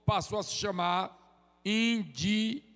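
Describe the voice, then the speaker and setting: Man, 40s, high-pitched and playful in the pulpit, heavy hall reverb. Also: unhurried, a bit bright, smooth. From Sergipe, Brazil. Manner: joking, chatty, drops "amém?" tags. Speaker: visiting preacher. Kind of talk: informal sermon with crowd call-and-response. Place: church sanctuary.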